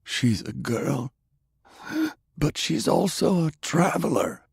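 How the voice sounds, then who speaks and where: Audiobook role: stern old commander played by a male narrator, slow, strained and gravelly, pitched up from a deep narrating voice. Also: big pitch swings, dry recording, studio quality, heavily acted. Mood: determined. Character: Pershing, ailing old male war leader, stern and ruthless. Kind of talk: audiobook character acting.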